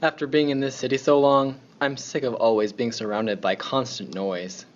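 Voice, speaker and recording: A man's voice: mid-range voice, flat delivery, normal speed, natural voice. Terse newcomer played by a man, slightly muffled. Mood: reserved. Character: reserved male newcomer on lighthouse night shift. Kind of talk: radio play dialogue.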